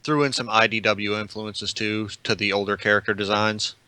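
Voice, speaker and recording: A man's voice: on the low side, little pitch movement, normal speed, sharp tone, clear, resonant tone. A man speaking casually as a panelist in a podcast discussion. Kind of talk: casual group chat.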